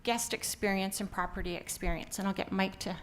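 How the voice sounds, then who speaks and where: A woman's voice: mid-range voice, steady tone, a little fast, a bit bright, slight background noise. A middle-aged woman from Canada with a Canadian accent, giving an upbeat conference talk with many filler ums. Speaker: company founder presenting. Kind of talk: conference presentation.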